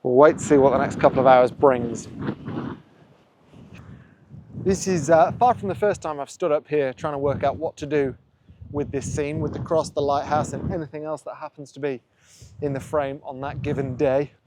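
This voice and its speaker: A man's voice: a little high, steady tone, normal speed, background noise. Thoughtful man musing aloud outdoors. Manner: musing, thinks aloud while working. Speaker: photographer and channel host. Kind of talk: casual vlog narration on location. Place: outdoors on a windy coast.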